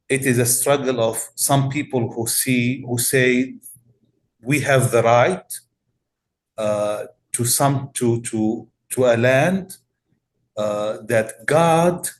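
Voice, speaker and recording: A male pastor from Palestine with a Palestinian Arabic accent, answering interview questions in a measured, deliberate way with many pauses and fillers. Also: mid-range voice.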